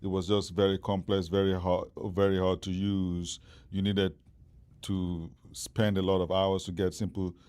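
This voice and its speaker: A man's voice: sits low, little pitch movement, unhurried, a little mellow, clear, resonant tone. A Nigerian man in his forties, a startup founder, answering interview questions with many fillers and restarts. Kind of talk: interview conversation.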